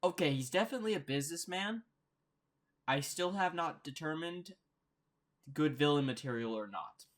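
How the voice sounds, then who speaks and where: A man's voice: a little high, lively intonation, normal speed, long pauses. A man hosting a casual reaction commentary, thinking aloud and often repeating and rephrasing himself. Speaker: reaction host.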